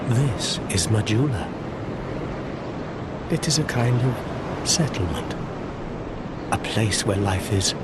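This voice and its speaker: An actor playing a weary, morose warrior in a dark fantasy video game, speaking in a brooding, deliberate way. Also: male voice. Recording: heavy low rumbling background noise.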